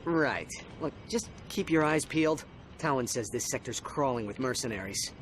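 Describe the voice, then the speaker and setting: An actor playing a young, impatient, determined alien hero in an animated video game, earnest and exasperated. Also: male voice, mid-range voice, moderate pace, a little hiss or hum, close to an everyday voice. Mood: wary. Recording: loud music behind the voice.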